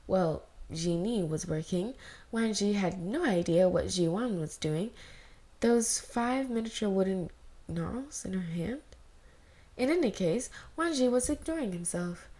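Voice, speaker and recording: Woman reading a story, medium pitch. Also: expressive, measured pace, mellow. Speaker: amateur fan fiction narrator. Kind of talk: scripted story narration.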